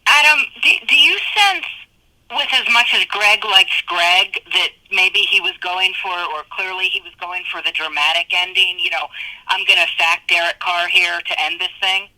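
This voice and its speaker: A woman questions a coach as a sports reporter on a phone call. Her voice sits a little low with a very forceful, bright edge, and the sound is dry. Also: normal speed, no echo. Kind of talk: press conference questioning.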